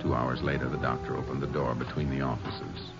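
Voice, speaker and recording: An actor playing a laconic Texas Ranger who investigates and narrates, in a measured American voice in 1950s radio drama style. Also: male voice, very deep voice, steady tone, gravelly. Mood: measured narrating. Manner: measured, matter-of-fact. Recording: muffled old radio recording, music behind.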